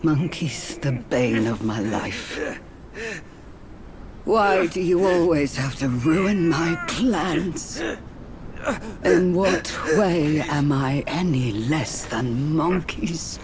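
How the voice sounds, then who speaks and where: A woman's voice: low voice, expressive, extremely slow, crowd noise, full character voice. An actress playing a scheming female spider demon in a game cutscene, bitter and menacing. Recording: noisy ambience behind.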